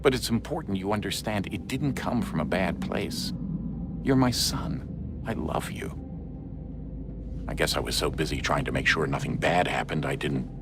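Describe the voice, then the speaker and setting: An actor playing a middle-aged assassin father speaking haltingly and apologetically to his grown son in a game cutscene. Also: low voice, over music, lightly acted. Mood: apologetic. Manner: halting, apologetic, sometimes whispered. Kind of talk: video game cutscene acting. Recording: game score behind voice.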